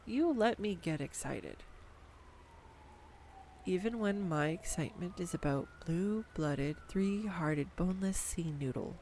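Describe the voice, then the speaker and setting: A woman's voice: lowish voice, animated, unhurried, darkish tone, slight background noise. A woman playing a nerdy rambling girlfriend. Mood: tender. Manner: enthusiastic, rambling, tangent-prone, giggly. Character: nerdy affectionate young adult girlfriend, rambling about animals. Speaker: girlfriend in an audio roleplay. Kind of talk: intimate audio roleplay monologue. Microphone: studio USB microphone.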